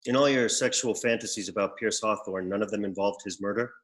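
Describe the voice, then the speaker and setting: An actor playing a severe, emotionless executor who speaks formally and precisely in a scripted comedy table read. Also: male voice, on the low side, fairly quick, fairly bright tone, lightly acted, low-quality recording. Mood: coolly interrogating. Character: severe, emotionless executor in a suit, coolly officious. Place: remote video call.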